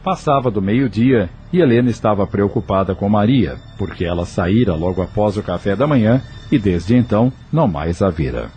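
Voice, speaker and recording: Low-voiced male audio novel narrator. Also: animated, moderate pace, hardly any pauses, dark tone.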